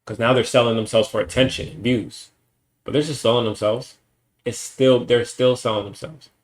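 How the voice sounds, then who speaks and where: A man's voice: mid-range voice, fairly even intonation, moderate pace. A young man streaming alone, ranting bluntly and with lots of profanity in a casual monologue. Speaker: livestreamer.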